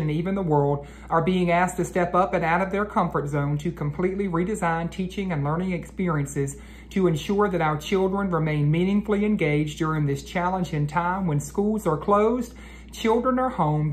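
An American man in his thirties, an educator doing an expressive, carefully enunciated read-aloud for children. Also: on the high side. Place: living room at home, indoors. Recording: roomy echo, clear background noise.

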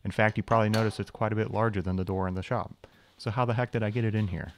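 A man's voice: fairly low pitch, steady tone, fast talker. A young man narrating a scripted shop-tour voice-over, explaining machines with dry, wry humor. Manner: dry, wry humor with self-deprecating asides. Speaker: channel host, machinist.

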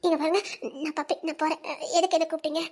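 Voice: little pitch movement, rapid-fire, a little mellow. A performer voicing a shy, tearful young woman in an audio romance drama, who pleads and brushes things off. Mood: coy, evasive. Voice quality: very high and childlike. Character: shy, teary young woman, coy and evasive.

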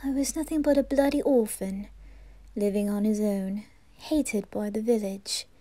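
A woman voicing a wistful, lovesick young gentleman in a halting, often whispered ASMR roleplay monologue. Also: medium pitch, dry recording, natural voice. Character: wistful, lovesick aristocratic young man, former orphan.

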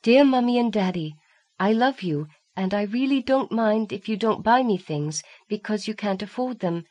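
A woman's voice: medium pitch, expressive, moderate pace, a little mellow, voice pushed up, close to an everyday voice. A woman voicing an earnest, loving eight-year-old girl in an audiobook. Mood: earnest, wistful. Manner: earnest, childlike. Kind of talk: audiobook character voice reading a letter.

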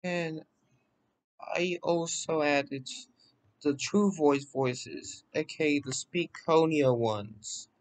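A man presenting a software demo in a casual walkthrough, pausing haltingly between phrases. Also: fairly high pitch, low-quality recording. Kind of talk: casual screen-recording walkthrough. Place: voice-over on a screen recording.

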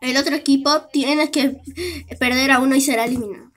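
A woman with a Latin American Spanish accent voicing squabbling object characters in an animated cartoon fan dub. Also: high-pitched, fairly quick. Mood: announcing.

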